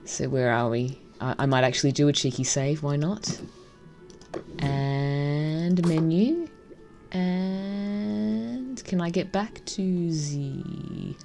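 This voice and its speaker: A woman's voice: deep voice, slow pace, vocal fry, music in the background. A woman giving casual, enthusiastic first-impressions commentary on a game as she plays. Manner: gushing, enthusiastic. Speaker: game streamer reviewing a visual novel.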